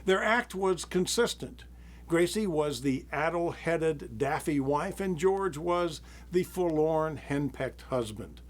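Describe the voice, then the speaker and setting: A man hosting a live theater broadcast, introducing the next act in a relaxed, informative way. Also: fairly high pitch, takes it slowly. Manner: relaxed, informative, small laughs. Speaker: theater host. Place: studio.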